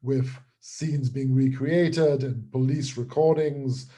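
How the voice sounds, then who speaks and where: A man's voice: medium pitch, slow, mellow. A man explaining his creative work as a guest in an interview. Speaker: audio drama creator being interviewed.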